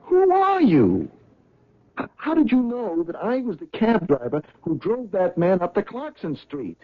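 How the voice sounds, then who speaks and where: An actor playing a nervous, talkative cab driver in a 1970s radio drama, hesitant and apologetic with many stammers. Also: male voice, high-pitched, wildly swooping pitch, a little slow, heavily acted. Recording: dull old radio broadcast.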